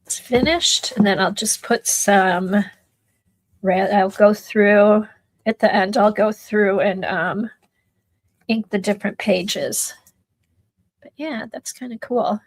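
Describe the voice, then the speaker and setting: A middle-aged woman with a soft voice, chatting slowly and quietly while she works on an art journal during a livestream. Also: mid-range voice, no room sound. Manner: soft-spoken, slow, drifting into murmurs while working. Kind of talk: casual livestream chat while crafting.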